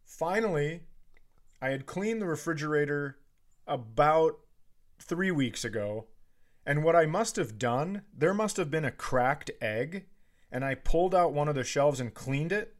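A man's voice: fairly high pitch, lively intonation, measured pace. A man co-hosting a comedy podcast, telling everyday stories with profane, exasperated ranting. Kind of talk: casual comedic storytelling.

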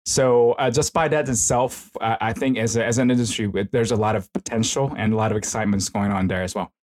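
A man's voice: fairly low pitch, fairly even intonation, brisk, a little mellow. A middle-aged man from South Korea with a Korean accent, chatting informally as an interview guest in a hesitant, rambling way. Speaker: esports consultant and professor, interview guest. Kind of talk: casual conversational interview.

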